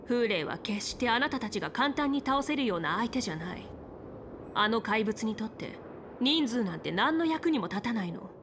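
Video game role: confident female general, higher register, composed strategist, slight noise behind. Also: lively intonation, normal speed. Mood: composed, instructive. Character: confident, commanding woman general, calm seasoned strategist. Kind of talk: Japanese video game voice acting.

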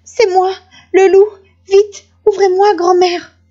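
A put-upon storybook wolf, voiced by a woman, pitched very high and plaintive. He is a soft-hearted, whiny creature who pleads his case. The recording is slightly dull. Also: flat delivery, takes it slowly. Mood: tearful, aggrieved. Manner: sobbing, plaintive complaints. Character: whiny, put-upon male wolf, turning sugary sweet. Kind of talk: children's storybook character voice.

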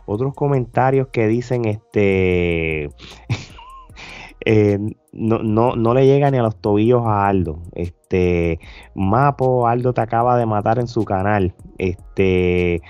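A man's voice: on the low side, takes it slowly, a little mellow, no echo, soft background music. A man chatting casually as a podcast host, repeating himself to make his point. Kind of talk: casual reaction podcast chat. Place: indoor room, remote video call. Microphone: close desk podcast microphone with headphones.

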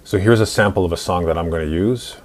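A man in his forties narrating a screen-recorded software tutorial in an instructional, step-by-step way. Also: sits low, fast talker, loud music behind the voice. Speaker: tutorial host.

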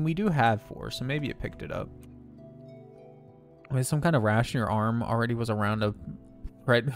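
A man streaming a game, chatting casually with viewers and laughing often, his nose a little stuffy. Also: mid-range voice. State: slightly congested, stuffy nose. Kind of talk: casual gameplay commentary and chat.

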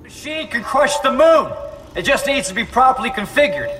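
A resolute sci-fi game survivor. His voice is high for a man and swings in pitch, heard from a distance in long reverb. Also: expressive, moderate pace. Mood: urgent. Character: determined male sci-fi survivor hero. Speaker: video game voice actor. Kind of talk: dramatic game cutscene acting. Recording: distant, reverberant, over loud noise.

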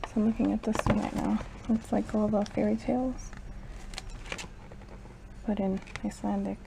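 A woman's voice: mid-range voice, flat delivery, moderate pace, creaky, dark tone, slight background noise, rough recording. A young woman vlogging casually while shopping, thinking aloud and hedging as she goes, filmed on a handheld camera. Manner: chatty, thinking aloud, hedging with "I don't know". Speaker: travel vlogger. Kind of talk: casual vlog narration. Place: shops and mall, indoors. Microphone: handheld camera at arm's length.

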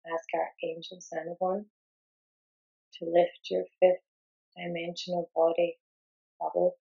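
Woman, guided meditation, very slow, even intonation, gentle and soothing, long pauses. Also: fairly low pitch, creaky, muffled recording.

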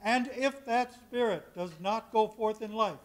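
Casting: man as stern, sorrowful ghost for a stage play; high-pitched, solemn, declamatory. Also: a little slow. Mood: solemn, accusing. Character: mournful, stern male ghost of a dead business partner. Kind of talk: live stage acting. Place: live on a college hall stage before an audience.

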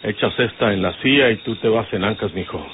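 An actor playing a domineering older outlaw boss in an old radio drama, with a rural northern Mexican accent and a folksy, commanding manner. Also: male voice, lowish voice, a little fast, natural voice. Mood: stern, fatherly. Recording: noisy, tinny vintage radio recording.

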